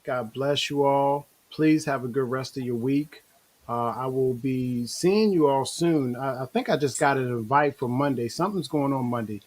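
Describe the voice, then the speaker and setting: Man, husky voice, talk show host, laid-back rambling delivery, lots of fillers. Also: mid-range voice, normal speed. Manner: relaxed, rambling, frequent restarts and fillers. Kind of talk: casual live-stream talk.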